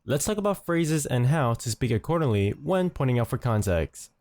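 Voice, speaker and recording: A man narrates a scripted tutorial as its host. His mid-range voice moves up and down in a lively way. The recording is close and very dry, with no room sound. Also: mid-range voice, normal speed, dry recording.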